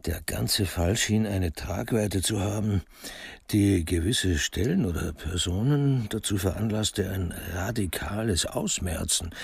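A man with a creaky voice narrating a scripted radio crime drama. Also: deep voice, measured pace, no room sound.

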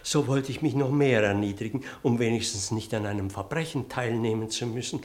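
A man's voice: mid-range voice, lightly acted. An actor playing an earnest, distressed male country vicar who tells his story theatrically in a radio play. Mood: anguished. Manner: theatrical, solemn, deliberate storytelling. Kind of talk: German radio play acting.

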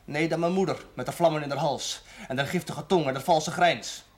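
A man's voice: fairly high pitch, brisk, natural voice. An actor playing a bitter, defiant young male prisoner in a television drama, speaking with sneering sarcasm. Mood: bitter, scornful. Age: young adult.

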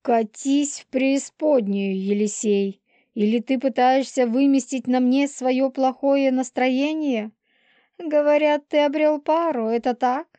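A woman's voice: high voice, fairly even intonation, slow pace, mellow, dry recording. An actress playing a manipulative pregnant young werewolf woman in an audiobook, spiteful, bitter, taunting and theatrical. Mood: mocking. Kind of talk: dramatised audiobook dialogue.

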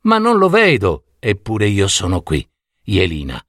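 A man voicing a gentle, loving father who speaks softly and reassuringly out of a dream. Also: fairly low pitch, huge pitch swings, a little slow, dry recording, clean studio sound, exaggerated voice. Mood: tender.

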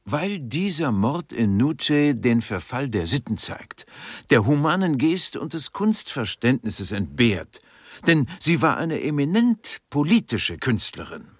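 A pompous male art critic in a radio crime satire, lecturing indignantly in lofty, learned words. His husky voice moves slowly with lively swoops, recorded close and dry. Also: mid-range voice, no room sound. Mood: disdainful, pompous. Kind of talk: grotesque radio crime play.

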